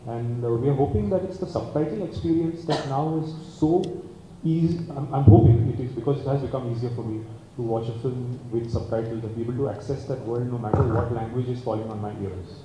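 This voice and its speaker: A man's voice: medium pitch, fairly quick, mellow. A man, speaking reflectively and articulately about making a film, thinking aloud at a press meet. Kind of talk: press conference answers. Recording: echoey hall with background noise.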